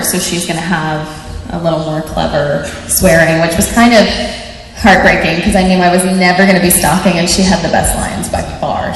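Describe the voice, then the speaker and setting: A woman, a voice actress on a convention panel, chatting in a rambling, giggly way. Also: on the low side. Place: large convention panel hall. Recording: echoey hall, phone recording, faint noise.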